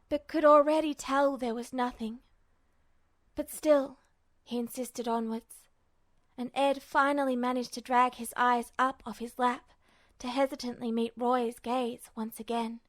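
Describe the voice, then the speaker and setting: A woman with a high-pitched voice narrates a dramatic story expressively. The recording is close and dry, with very little room sound, in the manner of an audiobook. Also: no echo. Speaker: podfic narrator. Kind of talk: expressive scripted fiction narration.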